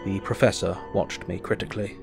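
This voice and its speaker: Low-voiced, solemn doctor. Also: male voice, steady tone, gravelly, over music. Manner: measured, literary, solemn. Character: earnest, anxious male asylum doctor reading his diary. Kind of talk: first-person dramatic audio narration.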